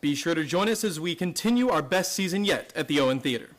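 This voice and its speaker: A man's voice: a little high, expressive, fast. A man co-announcing a scripted theatre promo.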